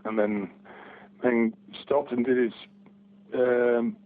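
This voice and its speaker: A man's voice: medium pitch, steady tone, slow, narrow, tinny audio. Casting: man, forties, hesitant phone-in guest, heavy line filtering. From Barnsley, England. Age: 40s. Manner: hesitant, many restarts and ums. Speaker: guest fan. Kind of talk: casual phone interview. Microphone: telephone.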